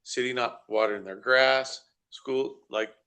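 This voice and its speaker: Man council member, bright, tense voice, rambles folksy and loose. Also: medium pitch, steady tone, a little slow, clean tone. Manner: folksy and rambling, repeats himself. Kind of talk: council workshop discussion.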